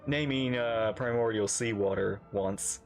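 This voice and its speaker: A woman's voice: rumbling low, a little slow, a little mellow, soft background music. A woman streamer giving casual live game reaction commentary, chatty and thinking aloud.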